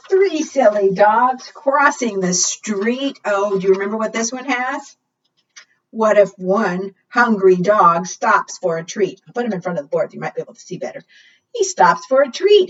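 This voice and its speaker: A woman hosting a preschool storytime, reading aloud in a sing-song way and putting questions to her young listeners. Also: mid-range voice. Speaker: children's librarian and storytime host. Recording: dull, lo-fi sound, distant mic.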